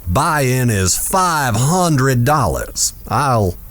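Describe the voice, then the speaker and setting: Man playing a slow, scheming Western town boss. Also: mid-range voice, sing-song, a bit bright, exaggerated voice. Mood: smooth, polite. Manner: measured, self-assured, insinuating. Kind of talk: audio drama western acting.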